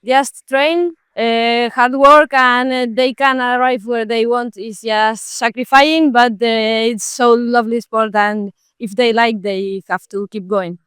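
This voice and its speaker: Young Spanish woman, bright clear voice. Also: fairly high pitch, steady tone, a little slow, hardly any pauses, no echo. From Spain. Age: 20s. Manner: simple phrasing with fillers. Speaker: table tennis player.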